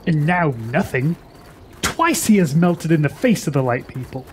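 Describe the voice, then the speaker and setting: A haughty young warrior prince of a fantasy radio play, acted by a man. His voice is high for a male and swings widely in pitch, proud and scornful in every phrase. Faint noise sits behind him. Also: expressive, moderate pace, a little mellow, lightly acted. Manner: haughty, dramatic. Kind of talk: radio drama acting.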